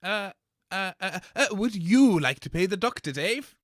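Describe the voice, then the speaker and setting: A man's voice: high-pitched, animated, a little slow, fairly bright tone, no room sound, clean studio sound. A man playing a seedy, cowardly radio host who stammers theatrically through an audio comedy sketch. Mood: nervous.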